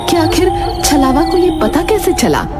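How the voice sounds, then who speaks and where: A woman's voice: high-pitched, big pitch swings, unhurried. A woman narrator reading a scripted horror story with dramatic storytelling delivery. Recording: loud music behind narration.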